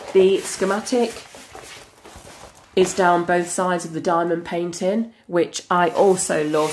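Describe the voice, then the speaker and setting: A woman with a croaky voice from a cold, chatting eagerly through an unboxing. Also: low-pitched, slight background noise. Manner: chatty and enthusiastic, frequent fillers. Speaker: crafting channel host. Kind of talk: casual unboxing chat. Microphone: camera over a tabletop.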